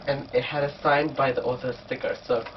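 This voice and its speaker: A man's voice: medium pitch, flat delivery, fairly quick, slight background noise. A man with a cold and a blocked, nasal voice, chatting casually through a book haul vlog. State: sick, blocked nose. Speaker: book vlogger. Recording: distant dull camera mic.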